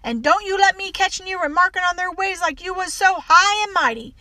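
A woman's voice: extremely high pitch, a little fast, bright, forceful tone, no room sound, clean studio sound, voice pushed up. A woman voicing a stern, folksy housekeeper from an audiobook story, scolding sharply in an American Southern accent.

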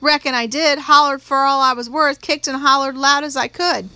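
Audiobook role: woman reader as scared, defensive country girl, nineteen, bright high voice, folksy grammar. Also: steady tone, moderate pace, no room sound. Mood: agitated, insistent. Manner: folksy, ungrammatical country speech. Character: young rural girl of nineteen, fearful, tearful, stubbornly defensive. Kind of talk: acted audiobook dialogue.

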